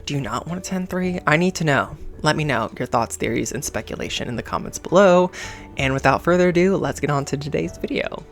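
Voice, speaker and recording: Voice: medium pitch, animated, brisk. Campy, sassy reaction host, music behind. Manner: campy, sassy slang with exclamations. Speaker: host of a gaming reaction channel. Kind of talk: casual reaction commentary.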